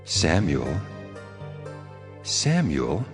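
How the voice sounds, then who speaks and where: A man voicing a stern, commanding God in a dramatized audio Bible, speaking in slow, grave pronouncements. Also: extremely low pitch, wildly swooping pitch, over music, full character voice. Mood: calling.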